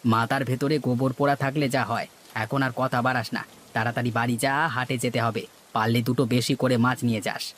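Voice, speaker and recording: A man's voice: mid-range voice, little pitch movement, brisk, fairly bright tone, close to an everyday voice. A man voicing a cocky, scheming village market trader in a cartoon, bossy and teasing, with noise behind him. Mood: scornful, bossy. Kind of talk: cartoon dialogue.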